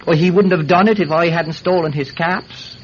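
A man's voice: fairly high pitch, moderate pace, close to an everyday voice. An actor playing a talkative, wily Irish villager who stammers deferentially as he pleads his innocence in a radio drama. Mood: mock regretful. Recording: muffled, slightly noisy old-style radio recording.

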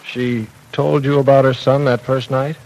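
An actor playing a courteous, bashful middle-aged bachelor professor in an old-time radio drama, slightly formal and prone to stammering. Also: male voice, medium pitch, level tone, normal speed, a little mellow, muffled recording, lightly acted. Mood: inquisitive. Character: polite, bashful bachelor university professor, mildly proper. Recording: noisy vintage radio broadcast recording.

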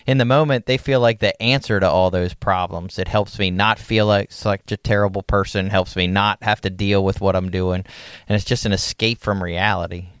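A man with a lowish, smooth voice speaks sincerely and reflectively as co-host. The recording is dry and close. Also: fairly even intonation, normal speed, fairly bright tone, no room sound. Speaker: podcast co-host. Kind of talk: conversational interview.

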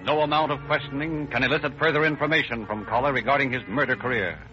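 Formal American radio announcer on muffled vintage recording. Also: male voice, mid-range voice, fast. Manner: polished, declamatory. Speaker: narrator and sponsor announcer. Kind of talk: formal 1930s radio narration. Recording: dull old radio recording. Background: music.

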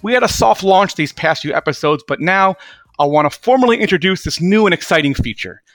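A man's voice: high-pitched, expressive, a little fast, a bit bright, no echo, faint music behind. A young man hosting a podcast, chatty and enthusiastic, introducing his guest with lots of energy. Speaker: podcast host.

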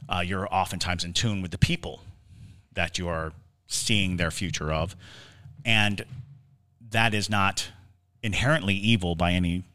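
Low voiced guy on a podcast, thinking out loud, halting and explanatory. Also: steady tone. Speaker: podcast host. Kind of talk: conversational podcast discussion of the paranormal.

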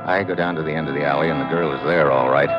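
A very deep-voiced, tough male secret agent from a vintage radio drama. He speaks in terse, clipped, hardboiled lines. The old recording is muffled, with music underneath. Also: fairly even intonation, rapid-fire. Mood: tense, grim. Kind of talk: 1950s radio drama acting and narration.

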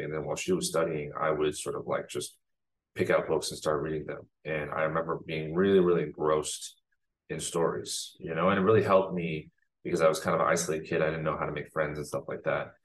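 A man from the United States, a filmmaker guest in a casual interview, talking in a reflective way with many pauses. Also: extremely low pitch, slight background noise.

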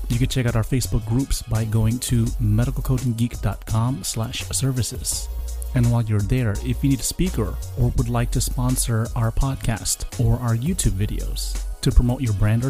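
A man's voice: mid-range voice, mellow. A man hosting a podcast, reading a promotional intro. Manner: promotional, reads calls to action. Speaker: podcast host.

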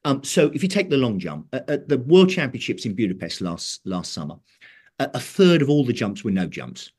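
Male speaker, husky, lively intonation, halting unscripted explanation. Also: medium pitch. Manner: halting, repeats words.

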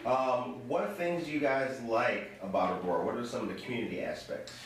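A man's voice: medium pitch, a little fast. A man hosting a casual interview, making small talk and asking his guests questions. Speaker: interview host. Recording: very echoey room, distant mic, faint noise.

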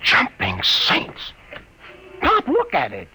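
Dim wisecracking sidekick, very animated highish voice, slangy grammar, noisy vintage radio recording. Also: male voice, slow, full character voice. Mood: excited, alarmed. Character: excitable, dim, loyal sidekick named Sweeney. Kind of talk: 1940s-style radio drama acting. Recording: noisy old radio broadcast, clicks.

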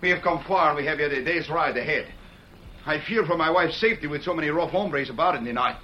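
An actor playing an anxious, imploring husband on a journey, in an old radio western. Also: male voice, a little high, quick pace, close to an everyday voice. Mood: pleading. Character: pleading, anxious Mexican husband, a weary traveler. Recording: dull vintage radio recording, faint noise.

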